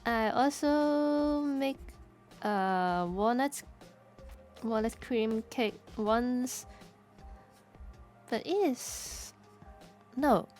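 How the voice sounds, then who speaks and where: A woman from Hong Kong with a Cantonese accent, chatting casually on stream, halting and restarting as she searches for words. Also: a little high, darkish tone, faint music behind. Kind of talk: casual chat while drawing.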